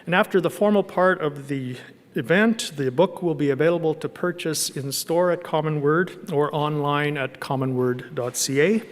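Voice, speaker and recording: Guy hosting, kinda high voice, reading out event stuff calmly, hall echo. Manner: announcing logistics in measured tone. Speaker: event host. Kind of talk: event introduction.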